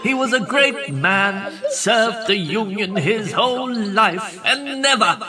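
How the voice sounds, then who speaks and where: A pompous funeral eulogist, acted by a man, high in pitch and slow, drawn-out in pace. He mourns in an overwrought sing-song over prominent music. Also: lively intonation. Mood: mournful, overwrought. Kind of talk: solemn mock eulogy.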